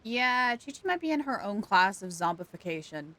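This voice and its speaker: A woman streaming a game, chatting animatedly and thinking out loud. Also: mid-range voice, big pitch swings, normal speed. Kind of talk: casual live-stream commentary.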